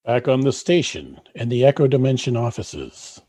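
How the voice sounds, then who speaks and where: Male narrator for radio comedy. Mid-pitched, mellow voice, scripted scene-setting. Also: big pitch swings, moderate pace.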